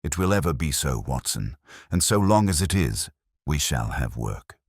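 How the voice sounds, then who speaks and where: A man voicing a cool, detached Victorian detective in an audiobook, speaking formally and quietly in aphorisms. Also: a bass voice, sing-song, moderate pace, slightly rough, no room sound, clean studio sound, full character voice. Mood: somber, reflective. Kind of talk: audiobook character dialogue.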